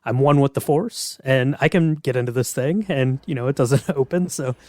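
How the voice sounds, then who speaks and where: Male host, mid pitch, dry studio-close sound, casual hedging delivery. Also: normal speed, darkish tone, dry recording. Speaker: podcast host. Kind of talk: casual chat about game lore.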